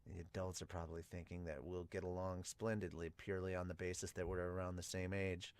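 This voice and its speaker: A withdrawn teenage boy from a manga, voiced by a man. He talks low and quietly, muttering with deadpan reluctance. The sound is close and dry. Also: fairly quick, no room sound, natural voice. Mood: reflective. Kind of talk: manga dub narration and dialogue.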